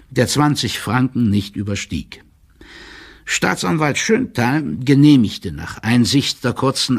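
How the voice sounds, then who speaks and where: A man playing a deferential, pedantic court clerk who reads out his letter in a formal, measured manner. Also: on the low side, creaky, slightly rough, full character voice. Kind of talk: formal dramatic letter reading in an audio drama.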